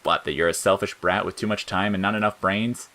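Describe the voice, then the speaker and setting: A man's voice: deep voice, steady tone, brisk, pushed and bright. A man voicing a cruel, mocking, childish talking flower in an audio fiction, jeering and wheedling by turns. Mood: scornful. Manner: jeering, cackling, swinging from sneers to wheedling. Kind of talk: dramatic audiobook character acting.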